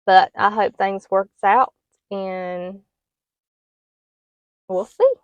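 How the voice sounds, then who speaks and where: A middle-aged woman who talks casually to the camera in a soft, plain and hesitant way, with many ums. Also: medium pitch, fairly even intonation, drawn-out words, no echo, muffled recording. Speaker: homestead vlogger.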